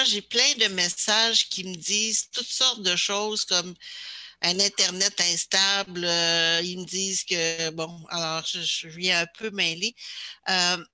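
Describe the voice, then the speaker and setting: Québécois lady running the hearing, slow, very bright voice, polite, lots of euh. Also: on the low side, no echo. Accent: Québécois French. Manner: courteous, hesitant. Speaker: commission chair. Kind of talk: chairing a virtual hearing. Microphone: video call.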